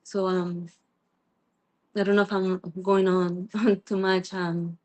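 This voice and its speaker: A middle-aged woman from Peru, sharing her spiritual journey as a guest in an earnest, halting way, with frequent restarts and soft laughs. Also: lowish voice, little pitch movement. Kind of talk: reflective personal sharing in a group call.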